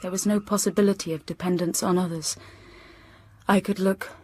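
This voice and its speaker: An actress playing a reserved, melancholy young gentlewoman, narrating her life in a measured way in a literary audio drama. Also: lowish voice, steady tone, a little hiss or hum, natural voice. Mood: sombre, reflective. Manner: measured, sombre. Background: music.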